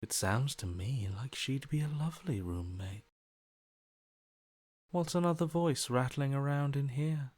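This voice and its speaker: A man playing a cold, detached inner voice in a video game, speaking in a deadpan, unhurried way. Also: medium pitch, no echo, natural voice. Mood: cool curiosity. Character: cold, detached male inner voice, bored by death.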